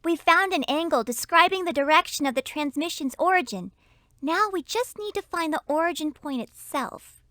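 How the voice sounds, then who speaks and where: A woman playing a brisk, encouraging young spy girl in an educational cartoon game. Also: extremely high pitch, expressive, pushed and bright, no echo, studio quality, exaggerated voice.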